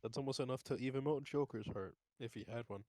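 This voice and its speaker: A man's voice: medium pitch, fast talker, darkish tone, no room sound. A man joking casually as a player in a tabletop roleplaying game.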